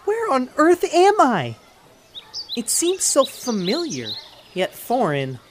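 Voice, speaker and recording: An actor voicing an excitable, awestruck boy who talks aloud to himself in a scripted audio drama. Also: sits high, takes it slowly, slight background noise, heavily acted. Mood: wondering. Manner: exclamatory, talking aloud to himself. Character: excitable, awestruck boy, comic book fan.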